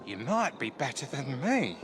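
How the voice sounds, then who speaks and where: A man's voice: medium pitch, sing-song, measured pace, noisy background. An actor playing a drunken, whiny Victorian man in a video game cutscene, slurred and theatrical. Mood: merry, drunk.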